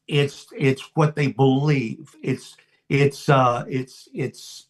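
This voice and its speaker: Slow-paced preacher in his seventies, male. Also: on the high side. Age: 70s. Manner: deliberate, emphatic, repeats phrases with long pauses. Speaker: pastor. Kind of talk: sermon, informal teaching.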